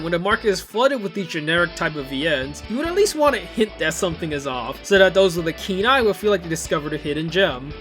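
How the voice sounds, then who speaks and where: A man's voice: sits high, pushed and bright. A man hosting a casual video commentary, conversational and opinionated, often asking rhetorical questions. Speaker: video host and reviewer. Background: upbeat music from the videos being reviewed.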